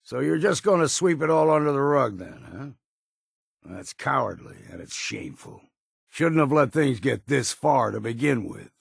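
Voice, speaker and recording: An actor playing a gruff, stubborn old hunter from a harbor town in a video game, with a rasping voice and a folksy, blunt way of talking. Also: male voice, mid-range voice, expressive, unhurried, dry recording, clean studio sound, exaggerated voice. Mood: scornful, angry. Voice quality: gravelly, rasping. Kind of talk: video game character acting.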